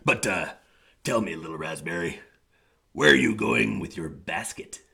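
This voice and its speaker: A man doing a deep, sneering big bad wolf. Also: animated, a little slow, gravelly, bright, forceful tone, full character voice. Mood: sly. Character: scheming big bad male wolf, boastful, easily flustered.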